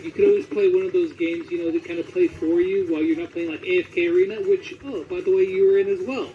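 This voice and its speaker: Guy host asking questions casually, pretty high voice, kinda lo-fi audio. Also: fairly even intonation, fast talker. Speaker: interviewer. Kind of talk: casual interview chat. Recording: dull audio with faint noise.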